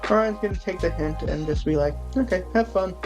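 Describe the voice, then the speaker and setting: A woman's voice: on the low side, fairly quick, a little mellow, soft background music. A woman chatting and improvising casually as a player in a tabletop game. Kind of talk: casual chat and improvised roleplay.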